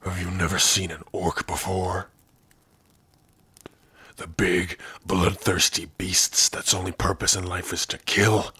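A man voicing a big, gruff orc prisoner in an ASMR roleplay, brooding and sardonic, with a husky, rough voice. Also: sits low, level tone, a little slow, sharp tone, dry recording, exaggerated voice. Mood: menacing, bitter. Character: big gruff male orc prisoner, guarded but lonely. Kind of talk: ASMR roleplay addressed to the listener.

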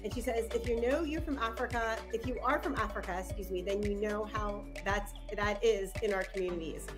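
A woman's voice: mid-range voice, fairly even intonation, a little fast, over music. A woman hosting a podcast, bubbly and enthusiastic, laughing easily as she introduces and chats with her guest. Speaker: podcast host. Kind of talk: casual podcast interview.

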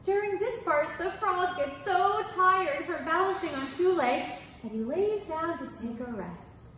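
A woman in her thirties teaches a kids' music lesson with a high, swooping voice. She talks with enthusiasm and exclaims often. Heavy echo fills the room, with a faint hiss behind her. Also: expressive, a little slow, a bit bright. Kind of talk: teaching children. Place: studio in front of green screen.

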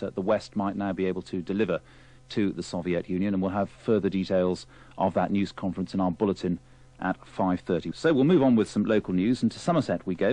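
Low-voiced British male radio news presenter. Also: a little mellow. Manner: formal, apologetic, hesitant when ad-libbing. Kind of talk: live news presenting, ad-libbing through failed links.

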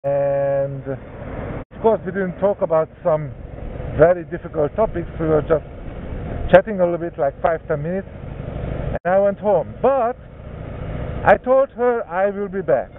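A man's voice: a little high, lively intonation, a little slow, pauses often. A man in his thirties telling a long story while riding a scooter, rambling and correcting himself as he goes. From Hungary. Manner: rambling, self-correcting, conversational. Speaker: motovlogger. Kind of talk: casual storytelling monologue. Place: riding a scooter on city roads outdoors. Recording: tinny narrow-band audio with faint road noise.

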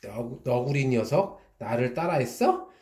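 A man reading a storybook aloud voices an excitable fox who runs a shop, talking with jumpy exclamations that leap into high squeals. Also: a little slow, lightly acted. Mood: indignant. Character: fussy, excitable fox shopkeeper. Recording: echoey room.

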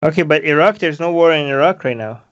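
A man's voice: a little high, quick pace, fairly bright tone, highs cut off. A man in his thirties interviewing a guest over a video call, asking probing, questioning follow-ups full of fillers. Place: small room, plain wall.

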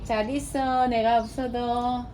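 Older woman, Gyeongsang accent, homely and slow-spoken mother, recorded at home with steady background noise. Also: fairly high pitch. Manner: slow, homely, speaks fondly to her plants. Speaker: vlogger's mother. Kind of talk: casual farewell talk.

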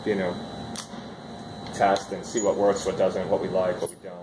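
A man's voice: low-pitched, level tone, moderate pace. A man in his thirties, co-owner of a clothing factory, giving a casual walk-and-talk tour and saying "dude" and "like" a lot. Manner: laid-back, says "dude" and "like" a lot. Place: large indoor workshop with sewing machines. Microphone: handheld camera following him. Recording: echoey warehouse with loud background noise.

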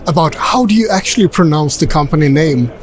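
A man's voice: a little high, lively intonation, a little fast. A man in his forties vlogging in a busy indoor car expo hall, chatty and upbeat, asking visitors questions through a handheld mic. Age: 40s. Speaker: travel vlogger. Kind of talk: casual vlog with voice-over narration. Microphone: handheld furry mic close to the face.

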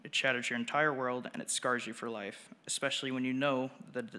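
A man's voice: medium pitch, fairly even intonation, brisk, bright, forceful tone. A young man reading a prepared speech aloud at a podium microphone in a large auditorium. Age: teens or young adult. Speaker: student public speaker at a school board meeting. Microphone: gooseneck podium microphone. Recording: long hall reverb.

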